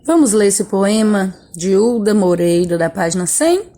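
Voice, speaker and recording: A woman's voice: medium pitch, animated, moderate pace, mellow, faint music behind. A woman from Bahia, teaching a chatty remote lesson from a textbook and talking to her pupils directly. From Bahia, Brazil. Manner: chatty, friendly, often tags "né?" and speaks to pupils directly. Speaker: schoolteacher.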